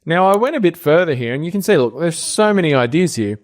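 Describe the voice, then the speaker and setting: Australian man explaining with lively pitch. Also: quick pace. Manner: relaxed and explanatory, thinking aloud with fillers. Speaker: co-host of a business training show. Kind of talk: screen-share tutorial.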